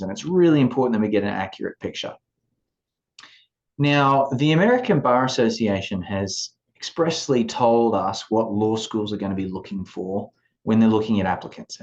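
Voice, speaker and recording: A man in his forties with an Australian accent, giving a measured, explanatory talk to students as an admissions advisor over a video call. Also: medium pitch, a little mellow. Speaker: law school admissions advisor. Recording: dull lo-fi video call audio.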